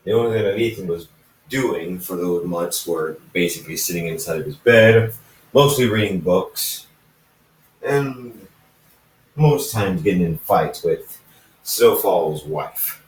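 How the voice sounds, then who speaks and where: Echoey, slow-paced man telling a story. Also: deep voice, expressive. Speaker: fan fiction narrator reading own recording. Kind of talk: informal storytelling, reading aloud with asides to listeners. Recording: roomy, echoey, distant microphone.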